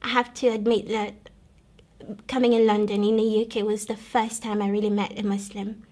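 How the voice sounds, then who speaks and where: A young woman from the Democratic Republic of Congo telling her own life story in an earnest, measured way, pausing and restarting often. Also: medium pitch, flat delivery, darkish tone. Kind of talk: personal testimony, recalled storytelling.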